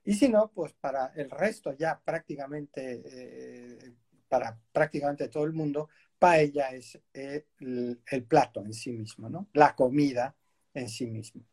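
A man's voice: mid-range voice, unhurried, darkish tone, husky. A male radio host in casual conversation, rambling and laughing often as he talks, recorded on a studio microphone.

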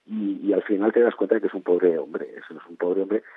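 A man's voice: sits low, a little fast, breathy edge, no room sound. A man in his fifties from Spain, a novelist answering interview questions thoughtfully, often repeating words and ending with tag questions. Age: 50s. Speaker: guest novelist. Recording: tinny phone-like line.

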